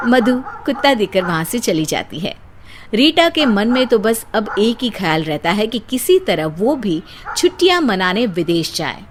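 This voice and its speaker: A woman's voice: medium pitch, sing-song, a little slow, slight background noise. A woman narrating a scripted family story as the storyteller.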